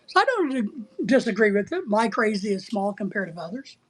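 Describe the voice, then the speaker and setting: Husky-voiced American livestreamer, blunt and teasing. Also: mid-range voice, big pitch swings, a little fast. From United States. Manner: blunt, teasing, sometimes breaking into playful exclamations. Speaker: livestream host. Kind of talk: casual rambling live chat, answering viewer comments.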